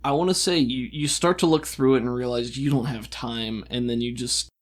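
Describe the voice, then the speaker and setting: A game master narrating a tabletop game in an improvised, casual way, with halting asides. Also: mid-range voice, steady tone.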